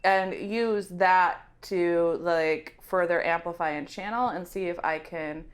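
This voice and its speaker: A woman's voice: on the low side, slow pace, clean tone. A woman playing a tabletop game, deliberating aloud in casual discussion.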